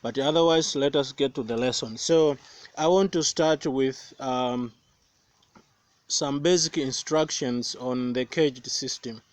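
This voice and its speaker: Slow Tanzanian man teaching guitar. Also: medium pitch, dry recording. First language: Swahili. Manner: slow, careful explaining with many fillers. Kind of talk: guitar tutorial. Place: outdoors by banana plants. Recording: faint guitar playing behind voice.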